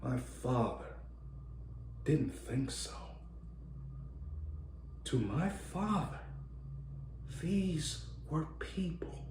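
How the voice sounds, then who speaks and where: An earnest, indignant man acted by a male performer speaks in an emphatic radio drama style. His delivery is slow and swings widely in pitch, recorded in an echoey room. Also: sing-song, a little mellow, a little hiss or hum, exaggerated voice. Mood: quiet, bitter. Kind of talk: dramatic radio play monologue.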